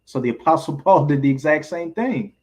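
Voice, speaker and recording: A man's voice: on the high side, a little fast, darkish tone. A man leading an informal group Bible study, explaining scripture in colloquial, streetwise language.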